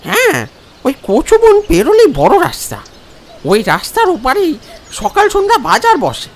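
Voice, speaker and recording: A man's voice: very high-pitched, huge pitch swings, measured pace, a little hiss or hum, pitched above the performer's own voice, full character voice. A man voicing a helpful village farmer in a cartoon story, with a folksy rustic way of talking. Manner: folksy rustic drawl, addressing others as brother.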